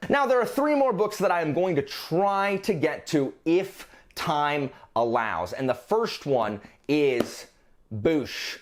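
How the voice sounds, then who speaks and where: A man talking casually and enthusiastically to viewers as a book vlogger, repeating words for emphasis. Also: mid-range voice. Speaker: book reviewer presenting a monthly reading list on his channel. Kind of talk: casual, chatty vlog talk to viewers.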